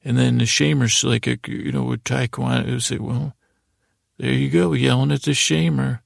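A man with an extremely gravelly, creaky voice at a middling pitch talks in a very dry, close space. He hosts a bedtime podcast, meandering and halting as he goes. His delivery is self-deprecating and loosely strung together. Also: fairly even intonation, no echo. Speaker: podcast host. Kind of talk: rambling bedtime monologue.

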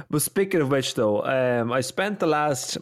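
A man's voice: medium pitch, no echo, clean studio sound. A man hosting a casual podcast, laughing often and rambling through announcements. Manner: giggly, rambling with many restarts. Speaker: podcast host.

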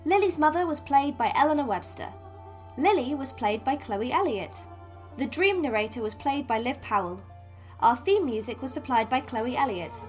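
A woman's voice: high voice, animated, moderate pace, faint music behind. A woman reads closing credits as an announcer. The delivery is a scripted list of roles and contributors for an audio drama.

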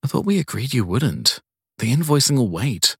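A man's voice: mid-range voice, sing-song, normal speed, fairly bright tone, breathy edge, dry recording, studio quality, pitched above the performer's own voice, full character voice. A man playing a guarded husband who speaks briefly and reassuringly in audiobook dialogue.

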